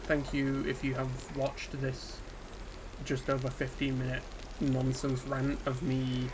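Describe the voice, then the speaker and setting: Downbeat guy ranting off the cuff, lots of ums, pretty monotone, steady hiss behind him. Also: mid-range voice, slow. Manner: subdued, dejected and hesitant, with frequent ums. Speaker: gaming video creator. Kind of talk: unscripted solo rant to camera. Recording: steady background ambience hiss.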